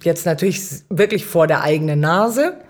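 A woman's voice: fairly low pitch, animated, normal speed. A middle-aged woman answering as an interview guest, conversational and reflective, often searching for the right words. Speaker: city councillor, podcast guest.

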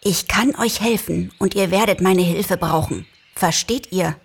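A man voicing a shrewd, bargaining male fox in a storybook reading, speaking in a husky, urgent and conspiratorial way. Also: sits high, measured pace, voice pushed up, heavily acted. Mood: urgent, condescending.

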